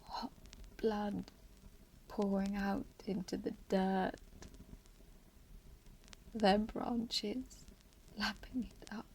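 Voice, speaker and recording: A woman playing a weakened, haunted lone survivor in a horror radio play, speaking in halting, breathy, whispered fragments. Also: medium pitch, steady tone, a little mellow, slight background noise, poor audio. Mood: bitter, hushed. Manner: halting, fragmented phrases with long pauses. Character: dying lone female survivor, entangled, haunted, bitterly resigned.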